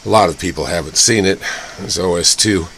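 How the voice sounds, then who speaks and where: A man giving casual, off-the-cuff hands-on commentary in a halting way, as if muttering to himself while he inspects things. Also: low voice. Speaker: hobbyist vlogger showing old computer hardware. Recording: clear background noise with room ambience.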